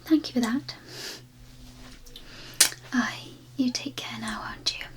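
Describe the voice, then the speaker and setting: Actress as zombie nurse for ASMR horror: woman, halting whisper, higher register, gleefully macabre. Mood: gentle farewell. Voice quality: breathy whisper. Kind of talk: ASMR roleplay.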